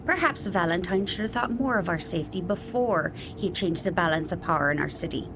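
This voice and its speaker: A woman playing a grieving, stern leader of the Sirens in a tabletop roleplay, speaking in a measured, grave way. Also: on the low side, animated, background noise. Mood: reproachful.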